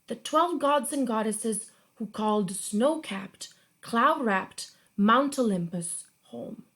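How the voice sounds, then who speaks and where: A young woman reading a myth aloud for a children's storytime, slowly and expressively. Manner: slow, expressive read-aloud with lively pitch. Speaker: guest reader.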